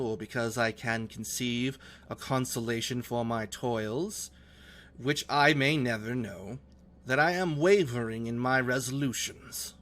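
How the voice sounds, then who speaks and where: A man reading a classic novel aloud as an earnest, romantic gentleman explorer, in formal literary phrasing. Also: mid-range voice. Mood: earnest, reflective. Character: earnest, romantic young gentleman explorer writing letters home.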